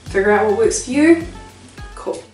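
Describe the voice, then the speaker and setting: A woman's voice: on the high side, animated, normal speed, a little mellow, echoey room, far from the mic, faint music behind, rough recording. A woman with a New Zealand accent chatting casually to viewers as she cooks at home, with self-mocking asides. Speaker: vlogger. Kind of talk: casual cooking vlog. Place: home kitchen.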